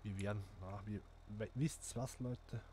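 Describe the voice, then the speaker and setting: Guy chatting thru a sim game, thinking out loud, bit of background hiss. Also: on the low side, fairly even intonation, unhurried. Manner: chatty, thinks aloud while playing, addresses viewers. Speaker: gaming channel host. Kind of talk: casual gameplay commentary.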